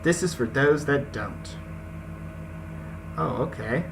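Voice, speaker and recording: A man's voice: medium pitch, lively intonation, slow pace, creaky, background noise. A man hosting a gaming video, moving between casual slangy commentary and reading a story aloud. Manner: slangy, informal asides. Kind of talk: casual let's play with read-aloud story narration. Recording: game music behind the voice. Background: video game music.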